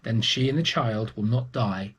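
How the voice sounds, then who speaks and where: Storybook old woman, acted by a man: kindly, solemn, deliberate, husky delivery. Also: mid-range voice, lightly acted. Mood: grave, urging. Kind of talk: children's storybook character voice.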